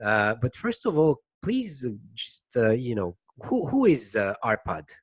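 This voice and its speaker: A man interviewing a guest, speaking in a casual, halting way with fillers and the odd throat-clear. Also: medium pitch, huge pitch swings.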